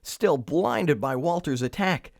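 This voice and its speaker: A man's voice: fairly high pitch, animated, takes it slowly, gravelly, no echo, clean studio sound. A man reading a children's story aloud, expressively and with theatrical emphasis. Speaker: storybook reader.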